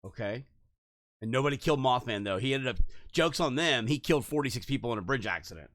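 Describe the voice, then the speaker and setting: Man, podcast co-host, casual chat, skeptical and joking, lively pitch. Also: fast, dry recording. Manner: skeptical, joking, keeps prompting.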